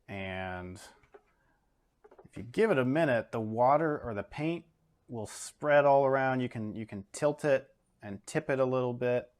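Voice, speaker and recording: A man, an art educator in his home studio, talking casually through a painting demo with lots of ums and uhs. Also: medium pitch. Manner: relaxed, talks through steps with many fillers.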